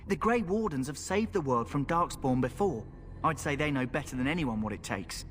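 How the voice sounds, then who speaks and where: An actor playing a cynical, roguish recruit in a fantasy game, with a blunt, taunting manner. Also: male voice, on the high side, expressive, moderate pace, a bit bright, a little hiss or hum. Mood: mocking, grim. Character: cynical roguish male recruit, mocking and fatalistic. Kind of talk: dramatic fantasy game acting. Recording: echoing hall, music behind.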